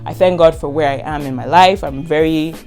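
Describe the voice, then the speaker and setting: A Nigerian woman in her twenties talks through a casual question-and-answer vlog. She speaks quickly and frankly, leaning on repeated words to drive points home, with soft music in the background. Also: low-pitched. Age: 20s. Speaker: content creator.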